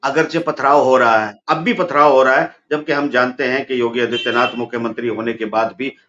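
A middle-aged man arguing politics on a video call from an office, forceful and rising to emphatic peaks. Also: medium pitch, fairly even intonation, a little fast. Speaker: political commentator. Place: office room, indoors. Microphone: video call webcam. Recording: dull lo-fi call audio with faint noise.

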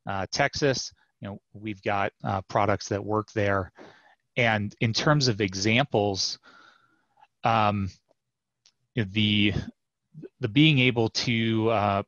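A man's voice: lowish voice, fairly bright tone, no room sound. A man in his thirties, a product manager, answering interview questions over a video call in a thoughtful way with many fillers and restarts. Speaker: software product manager, guest. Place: home office with bookshelves. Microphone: headset mic on video call. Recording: dull dry headset audio.

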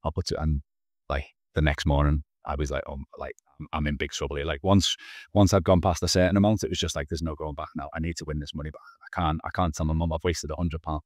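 A man's voice: extremely low pitch, little pitch movement, fast talker, a little mellow, no room sound, studio quality. A man in his thirties, a podcast guest telling his own story earnestly over a video call, often restarting his words. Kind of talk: candid personal storytelling. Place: small indoor room. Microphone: video call, wearing headphones.

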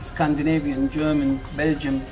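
A man's voice: fairly high pitch, level tone, moderate pace, over music. A man in his forties with a Turkish accent, a restaurant owner speaking simple, matter-of-fact English at a table in a small restaurant.

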